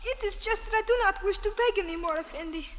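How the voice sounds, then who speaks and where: A woman acting a frightened young Egyptian beggar boy in an old radio drama, pleading deferentially in halting, formal English. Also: very high-pitched, big-room sound, slight background noise. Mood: earnest begging.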